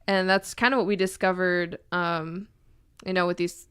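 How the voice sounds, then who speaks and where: A woman from central Wisconsin co-hosting a podcast, chatting in a relaxed, self-deprecating way. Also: fairly low pitch, moderate pace, smooth, no echo, clean studio sound. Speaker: podcast co-host. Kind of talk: casual chat.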